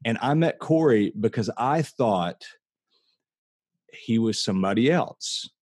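A man's voice: medium pitch, lively intonation, unhurried, darkish tone, breathy edge, no room sound, clean studio sound. An American man in his late thirties hosting a casual, heartfelt podcast chat, talking earnestly and telling stories conversationally. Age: late 30s. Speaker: podcast host.

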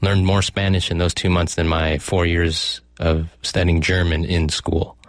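Low-voiced middle-aged man, physician guest, measured explainer, dry booth sound. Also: little pitch movement, no echo. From United States. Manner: thoughtful, explanatory, with fillers and self-corrections. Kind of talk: conversational interview.

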